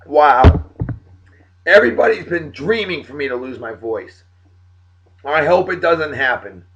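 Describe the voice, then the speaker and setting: A middle-aged man with a New England accent, hosting a casual beer vlog while hoarse and losing his voice, chatty and full of hype. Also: on the high side, expressive, a little slow, fairly bright tone, muffled recording. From Massachusetts. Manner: upbeat, hype-filled and chatty, with throat clearing. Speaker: video blog host.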